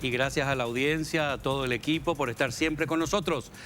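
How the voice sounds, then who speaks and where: A man's voice: medium pitch, fairly quick, over music. A man hosting a studio panel discussion, steering the talk and wrapping up segments. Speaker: talk show host.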